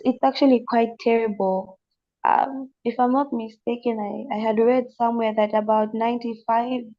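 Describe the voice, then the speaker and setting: A Zambian woman with a slight mixed accent, speaking earnestly on a panel, pausing and restarting as she thinks. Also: medium pitch, vocal fry, highs cut off. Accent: slight non-Zambian accent from family. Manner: earnest, thoughtful, halting with restarts. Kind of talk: panel discussion.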